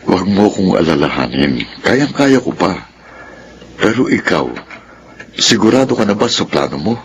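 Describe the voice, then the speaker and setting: An actor playing a doting, gently nagging middle-aged father in a radio drama, with a Filipino accent. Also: low-pitched, big pitch swings, moderate pace. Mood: concerned, accepting. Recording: faint background noise.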